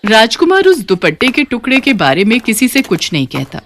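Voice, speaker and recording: A woman narrating a scripted animated moral story in an expressive storytelling manner. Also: fairly high pitch, a little fast, a little hiss or hum.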